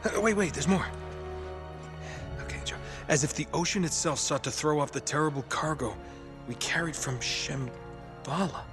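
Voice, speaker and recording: An American actor playing a cocky, wry treasure hunter in a casual, conversational way for a video game cutscene. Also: male voice, a little high, normal speed, close to an everyday voice. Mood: excited. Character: cocky, wisecracking male treasure hunter, adaptable and improvising. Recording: cinematic music behind the voice.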